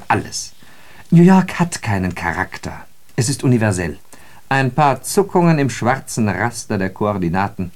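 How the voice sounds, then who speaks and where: An actor playing a sardonic, disillusioned socialist who observes city life in a radio drama, with ironic, declamatory and emphatic phrasing. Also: male voice, lowish voice, darkish tone, close to an everyday voice. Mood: sardonic, observant. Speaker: radio play speaker. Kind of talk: literary German radio drama monologue.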